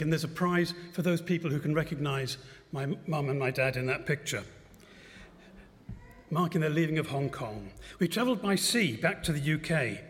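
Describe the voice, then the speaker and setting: A man in his seventies giving a personal testimony at a church service, telling his life story in a gentle, reflective way with light self-mocking humour. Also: a little high. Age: 70s. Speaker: guest speaker at a church service. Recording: long church-hall reverb.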